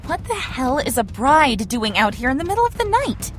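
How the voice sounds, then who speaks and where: A woman's voice: high voice, very animated, normal speed, faint music behind. A woman playing a sardonic, jumpy young girlfriend in an audio horror drama, bossy and nervy. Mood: scared.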